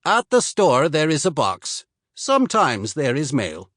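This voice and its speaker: A big, stern Swedish stable keeper who never smiles, clipped and blunt, with a slight accent in his speech, as a man acts him out. His voice sits higher and louder than the narration, in a dry, close recording. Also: huge pitch swings, unhurried, no echo, full character voice.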